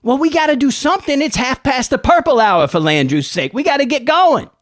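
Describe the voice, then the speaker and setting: A dim, exasperated henchman acted out by the narrator squabbles in slangy comic-sketch fashion. The voice jumps high and swings about, recorded dry. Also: very animated, brisk, dry recording. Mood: insistent, bickering. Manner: slangy, repetitive, bickering.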